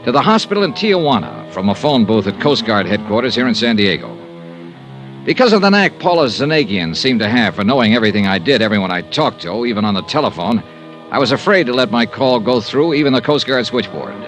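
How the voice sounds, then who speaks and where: An actor playing a tough, wry insurance investigator, narrating and talking in a 1950s radio drama with crisp, brisk delivery. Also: male voice, mid-range voice, big pitch swings, fairly bright tone, soft background music. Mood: determined. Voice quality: crisp, brisk, dry wit.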